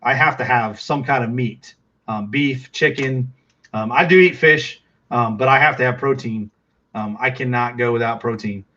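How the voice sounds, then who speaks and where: A man's voice: medium pitch, moderate pace, pushed and bright, lo-fi sound. A middle-aged man with a Texas accent, chatting casually with viewers on a livestream, rambling with lots of fillers and restarts.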